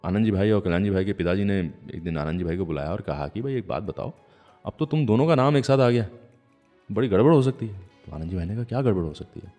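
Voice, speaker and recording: A man's voice: on the low side, expressive, fast talker, warm tone, in a large hall. A man hosting a radio show, telling stories in a conversational way. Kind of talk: conversational storytelling radio presentation. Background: music.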